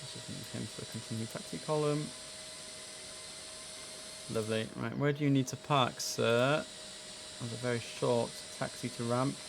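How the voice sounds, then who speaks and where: A man's voice: medium pitch, steady tone, moderate pace, gravelly, pauses often, noisy background. A man commentating casually on a simulator game, chatting and musing to himself, with clipped radio calls. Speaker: streamer playing an air traffic control simulator.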